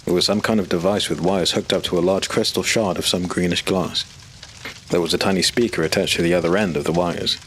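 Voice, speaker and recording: Deep-voiced male audiobook narrator. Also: steady tone, fairly quick, a bit bright, a little hiss or hum.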